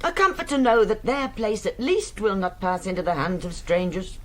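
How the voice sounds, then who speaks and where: An actress playing a haughty, disapproving middle-aged English country matron, imperious and pointed, in a period radio drama. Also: female voice, mid-range voice, normal speed, natural voice. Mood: haughty, prim.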